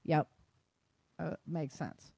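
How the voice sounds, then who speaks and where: A woman's voice: low voice, level tone, slow pace, gravelly, warm tone, no room sound, studio quality. A woman hosting a casual talk podcast, explaining advice in an emphatic, colloquial way and often checking in with "right?". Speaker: podcast host.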